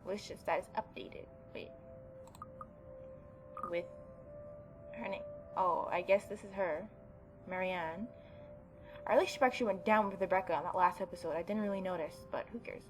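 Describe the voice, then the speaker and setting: A woman's voice: fairly low pitch, moderate pace, pauses often, music in the background, rough recording. A woman hosting a let's play, reading a visual novel aloud and adding informal, reactive asides.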